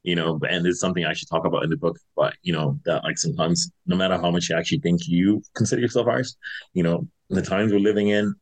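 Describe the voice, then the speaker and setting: A man in his thirties, originally from Zimbabwe, chats as an interview guest. His voice sits very low and he talks quickly. He loops through fillers and restarts as he thinks aloud. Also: fairly even intonation, low-quality recording. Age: 30s. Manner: loose and chatty, many fillers and restarts. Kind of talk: casual interview chat.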